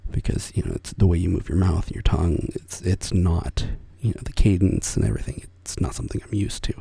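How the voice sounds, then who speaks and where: An American man talking soft-spoken for ASMR, often slipping into a whisper, rambling and correcting himself as he goes. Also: low voice, fairly quick, creaky, slightly rough, dry recording. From United States. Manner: meandering, self-correcting, chuckles softly. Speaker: ASMR creator.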